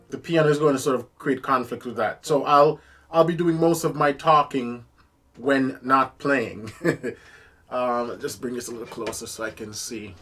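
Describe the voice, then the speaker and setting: A fairly high-voiced man teaching piano on a live stream, talking to viewers in an easy, chatty way. He thinks out loud as he fiddles with his streaming mic in a home music studio. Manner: casual, chatty, troubleshooting aloud with viewers.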